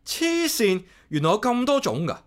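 An actor playing a solitary, caring young office worker with a Hong Kong accent, narrating and chatting casually in a radio drama. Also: male voice, high voice, very animated, moderate pace. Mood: teasing, curious. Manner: casual, talks playfully to himself and pet. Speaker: lead character and narrator. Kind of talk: radio drama dialogue and inner-monologue narration.

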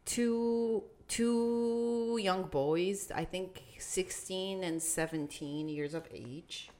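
A young woman from Iraq, co-hosting a podcast and telling a story in casual chat, with halting restarts and fillers. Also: medium pitch, mellow. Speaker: podcast co-host.

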